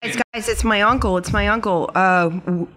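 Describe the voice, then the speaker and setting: A woman playing a tabletop role-playing game, improvising casual descriptions in halting phrases. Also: medium pitch, a bit bright.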